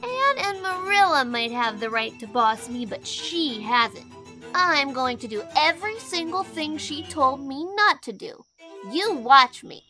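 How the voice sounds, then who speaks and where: A woman's voice: high-pitched, sing-song, measured pace, sharp tone, faint music behind, full character voice. A woman voicing a mischievous, hot-tempered young boy in a blustering, folksy way for an audio storybook. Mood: indignant. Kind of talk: audio storybook character acting.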